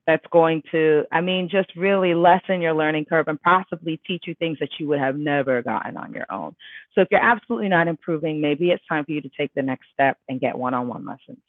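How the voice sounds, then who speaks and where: A woman's voice: low-pitched, no echo. Woman vocal coach on a tinny dry line. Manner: chatty and emphatic, many fillers and self-corrections. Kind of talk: casual livestream Q&A, reading viewer questions aloud. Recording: tinny dry phone-like sound.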